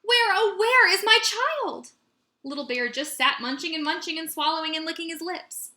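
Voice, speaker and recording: A woman teacher reading a children's story aloud in an expressive, animated way with dramatic emphasis. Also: mid-range voice. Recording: roomy echo.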